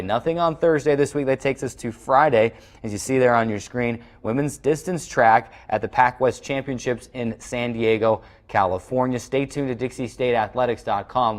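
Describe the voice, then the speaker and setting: A man hosts a college athletics show, walking the viewer through the coming week's games. His voice sits at a middle pitch, and his delivery is steady and informative with the odd filler. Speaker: sports show host. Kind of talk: scripted sports schedule recap.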